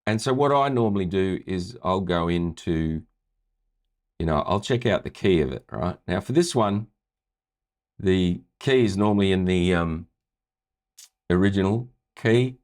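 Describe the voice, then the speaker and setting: A very deep-voiced man teaches music in a loose, chatty way. He talks through each step of a screen-share tutorial and keeps checking in with the viewer. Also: measured pace, pauses often. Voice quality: low voice. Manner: chatty, relaxed, often checks in with "right?".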